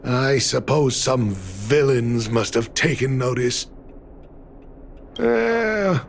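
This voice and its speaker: A man's voice: medium pitch, creaky, a little hiss or hum. An actor voicing a world-weary middle-aged miner and father in a video game, resigned and sighing. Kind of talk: dramatic video game dialogue.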